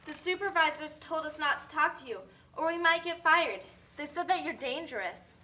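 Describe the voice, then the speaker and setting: Woman playing a high, bright puppet coworker. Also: normal speed. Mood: cynical, wary. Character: cynical, matter-of-fact female factory coworker, puppet. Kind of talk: amateur puppet show acting.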